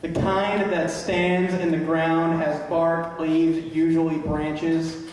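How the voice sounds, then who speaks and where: A man playing a stubborn, literal-minded tree with a halting, deadpan delivery on a live stage. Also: fairly high pitch. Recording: echoey hall from far, noisy crowd.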